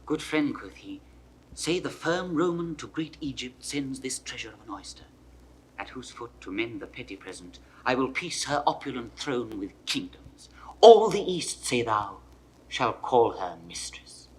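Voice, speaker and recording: A courtly royal attendant with a mid-pitched, very expressive voice. He is deferential and flowery, delivering lyrical verse in classical 1960s audio drama style. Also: a little slow, low-quality recording.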